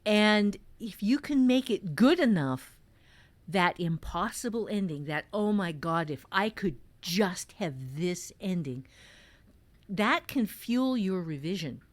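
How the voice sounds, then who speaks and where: Woman, podcast host, informal teaching talk, emphatic, halting, mid-pitched voice. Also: animated, no room sound. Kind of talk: informal writing advice in conversation.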